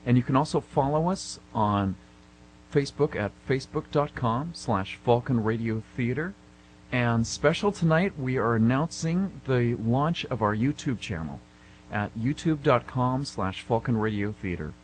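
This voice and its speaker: A man's voice: mid-range voice, expressive, measured pace, rough recording. A man co-hosting a radio theater show, making informal announcements. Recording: hum and clear background noise.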